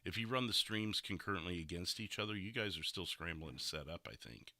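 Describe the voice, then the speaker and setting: A man's voice: sits low, animated, a little fast, bright, forceful tone, no room sound, clean studio sound. A man hosting a livestream podcast, chatting casually and loosely while looking back over recorded gameplay.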